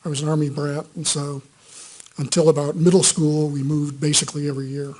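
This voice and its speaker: A middle-aged man testifying in a courtroom, hesitant and quiet, with plenty of pauses. His voice is husky and stays flat in pitch. He speaks into a courtroom microphone. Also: rough recording. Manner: hesitant, soft-spoken, many uh fillers. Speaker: trial witness.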